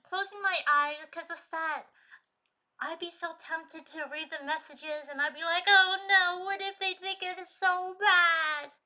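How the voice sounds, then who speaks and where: Woman, live stream chat, very bright tense tone, slow delivery, laughs a lot. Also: sits high. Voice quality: trained, projecting singing voice. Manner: chatty, laughs often, reads chat aloud. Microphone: streaming desk mic.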